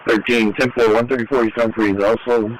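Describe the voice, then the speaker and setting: A man's voice: medium pitch, flat delivery, a little fast. A man speaking clipped, procedural police radio traffic as an officer over a two-way radio. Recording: noisy police scanner radio audio.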